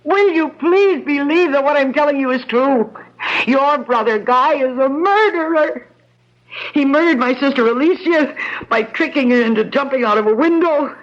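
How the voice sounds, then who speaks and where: A woman's voice: high-pitched, normal speed, highs cut off, natural voice. An actress playing an earnest, emotional young career woman in danger in an old-time radio drama. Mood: desperate pleading.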